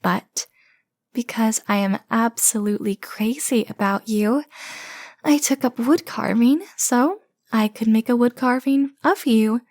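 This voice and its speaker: A woman's voice: fairly high pitch, measured pace, darkish tone, no echo. Woman playing a dramatic, obsessive yandere sister, dry studio sound. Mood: smug, boastful. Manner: theatrical, indignant, rambling, boastful. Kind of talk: comedic audio roleplay.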